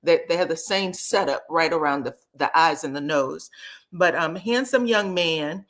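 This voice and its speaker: A woman's voice: sits low, normal speed. A woman, chatting casually as she hosts a livestream recap and greets viewers by name. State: slightly scratchy voice. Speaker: reality TV recap livestream host.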